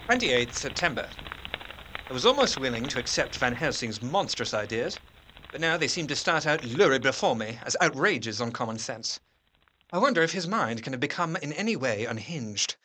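An actor playing a troubled, sceptical gentleman doctor, narrating his diary in intense, formal literary diction for a gothic drama. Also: medium pitch, sing-song, moderate pace, a little hiss or hum. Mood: doubting, reflective. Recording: music under the voice. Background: music.

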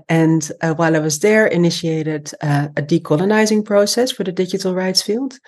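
Woman in her 40s, podcast guest, low voice, measured and pausing as she explains stuff. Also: a little mellow. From Amsterdam, Netherlands. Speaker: podcast guest, human rights lawyer. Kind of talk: conversational personal account in an interview.

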